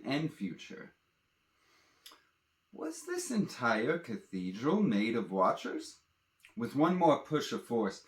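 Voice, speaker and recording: A man telling a fantasy adventure story in a dramatic, theatrical way, addressing listeners directly. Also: medium pitch. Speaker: storyteller and author reading his own fantasy tale. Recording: roomy echo.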